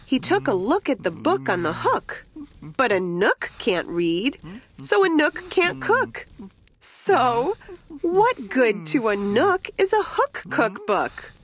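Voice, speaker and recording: A woman narrating a rhyming children's picture book in an expressive, deliberate storytelling way. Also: a little high.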